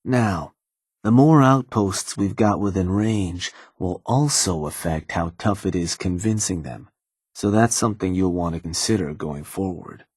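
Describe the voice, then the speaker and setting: An actor playing a calm, seasoned male raider advisor who talks in an easygoing, folksy drawl. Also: low-pitched, a little slow, slightly rough, no echo. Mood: steady. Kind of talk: game voice acting. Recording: dry studio recording.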